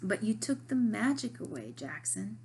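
A spirited, outspoken young girl speaks in a storybook read-aloud, voiced by a woman. She sounds emphatic and lively, with a middle-pitched, bright delivery. A faint hum sits under the voice. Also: normal speed. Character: spirited, blunt schoolgirl, loyal best friend.

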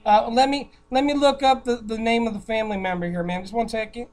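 A woman's voice: fairly high pitch, fairly even intonation, fast, a little mellow, close to an everyday voice. A woman putting on a chatty, folksy church outreach caller in a prank call, gushing and deferential. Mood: polite, solicitous. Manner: gushing, folksy, deferential, repeatedly saying ma'am. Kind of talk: improvised prank phone call.

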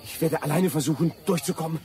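An actor playing a whining, panicky, cowardly companion in a radio play, with a moaning, stammering delivery. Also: male voice, a little high, fast talker, a crowd in the background, natural voice. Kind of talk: German radio play acting.

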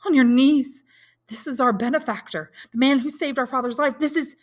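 A woman's voice: fairly low pitch, a little fast, fairly bright tone. A woman voicing a passionate, despairing young man in a storybook, with declamatory, wounded and defiant outbursts. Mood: choked, shouting.

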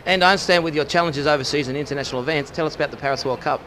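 A middle-aged man from Australia interviewing a guest, asking brisk, leading questions into a handheld mic. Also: a little high, fairly bright tone, poor audio. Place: outdoor event media wall. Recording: outdoor traffic noise behind.

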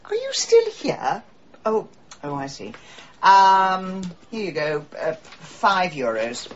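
A woman's voice: lowish voice, very animated, slow, heavily acted. An actress playing a brusque, grumbling middle-aged British woman abroad in a radio drama, sardonic and imperious. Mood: grumbling, impatient. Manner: imperious, sardonic, crisp complaining. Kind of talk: BBC radio drama acting. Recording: dull, slightly noisy radio drama recording.

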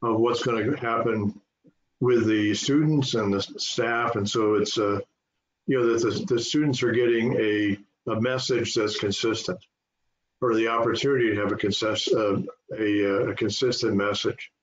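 A man moderating an informal meeting, halting and repeating himself as he talks. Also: lowish voice, steady tone. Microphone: video call. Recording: echoey, noisy, dull video call.

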